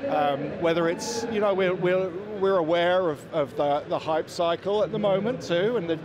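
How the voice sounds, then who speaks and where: A man in his forties presenting products as a company representative in an interview, somewhat halting and repeating words. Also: high-pitched. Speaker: company representative at a trade show. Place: indoor exhibition booth. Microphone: handheld interviewer's microphone. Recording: busy trade-show floor crowd noise.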